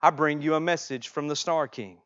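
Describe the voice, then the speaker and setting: A man voicing gentle creature messengers in a children's storybook, announcing solemnly. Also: on the high side, a little fast, highs cut off, pitched above the performer's own voice, natural voice. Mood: solemn reassurance. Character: gentle creature messengers: frog, moths, firefly, angel fish.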